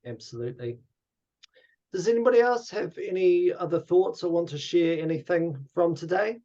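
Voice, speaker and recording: A man's voice: high-pitched, expressive, normal speed, dark tone, lo-fi sound. A man with a New Zealand accent hosting an online workshop, informal and courteous, with some hesitancy. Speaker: workshop host. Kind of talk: informal facilitation and closing remarks. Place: online video call.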